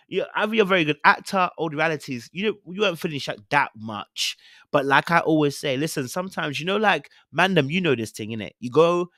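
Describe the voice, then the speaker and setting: A young man with a London accent chatting casually as a podcast host, giving opinionated, slangy reality TV commentary with animated, theatrical retellings. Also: mid-range voice, no echo, studio quality.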